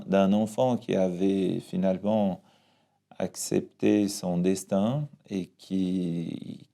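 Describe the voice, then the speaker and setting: Brazilian priest man, portuguese accent, low dark gravelly voice, calm and thoughtful, lots of restarts. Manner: calm, reflective, with frequent restarts. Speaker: parish priest. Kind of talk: interview, personal testimony.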